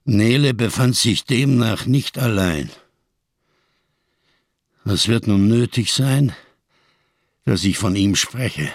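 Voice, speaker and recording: Embittered male survivor, husky and cracked, close dry sound, solemn biblical rhetoric, radio drama monologue. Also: fairly low pitch, takes it slowly, long pauses, no echo. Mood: grave, measured. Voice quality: gravelly, husky. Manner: solemn, rhetorical, biblical diction, bitterly sardonic.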